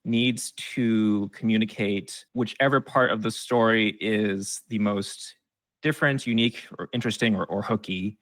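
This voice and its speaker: A man's voice: on the low side, moderate pace, dry recording. A man giving conversational, explanatory advice as an expert guest in an interview. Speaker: guest expert on game trailers.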